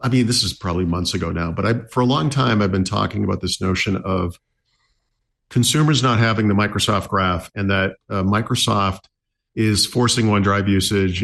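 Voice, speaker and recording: A man's voice: on the low side, a bit bright. A middle-aged man co-hosting a podcast, reflecting at length in casual chat with many fillers. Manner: reflective, storytelling, frequent fillers. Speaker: podcast co-host.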